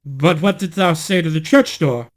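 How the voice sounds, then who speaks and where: A man performs a young king's son from a storybook, stern and commanding. The voice sits fairly high. The phrasing is formal, archaic and insistent. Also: moderate pace, lightly acted. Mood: questioning, stern.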